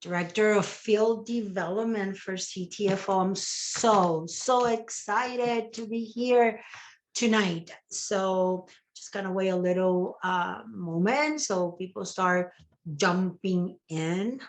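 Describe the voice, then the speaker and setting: A woman's voice: medium pitch, slow, a little hiss or hum. A middle-aged woman with a Puerto Rican Spanish accent, whose first language is Spanish, giving an enthusiastic motivational talk to a business team and often adding "okay?". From Puerto Rico. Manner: enthusiastic, repeats words and tags "okay?". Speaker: network marketing director.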